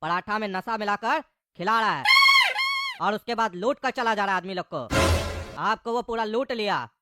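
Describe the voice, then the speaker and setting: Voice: medium pitch, normal speed, loud music behind the voice, close to an everyday voice. A performer playing a boastful, authoritative male police inspector in a Hindi comedy cartoon, speaking in a self-important, instructive way. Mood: explaining.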